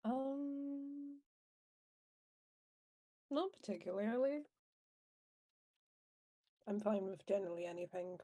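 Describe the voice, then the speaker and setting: Mellow, laid-back woman. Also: a little high, slow pace, long pauses, dry recording. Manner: laid-back, brief answers. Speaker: player in a tabletop game. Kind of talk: casual chat. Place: online voice call.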